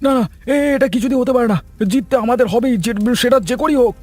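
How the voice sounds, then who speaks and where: A man's voice: very high-pitched, fast talker, darkish tone. A man voicing an agitated, nagging village schemer in a cartoon, speaking urgently. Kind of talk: cartoon voice acting.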